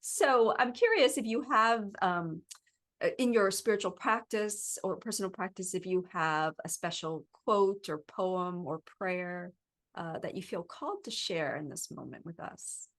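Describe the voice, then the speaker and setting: A woman hosting an interview podcast who listens closely, affirms often with short replies, and asks her questions gently. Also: on the low side, expressive, measured pace. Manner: warm affirming listener, lots of "mm-hmm" and "yes". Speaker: podcast host.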